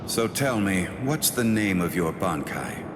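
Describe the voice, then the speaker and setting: An actor playing a cold, stern, haughty sword spirit in an anime game, with an imperious, unyielding manner. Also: male voice, sits low, lively intonation, unhurried, over loud music. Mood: cool, aloof. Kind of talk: English anime game voice acting. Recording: cavernous reverb with noisy ambience.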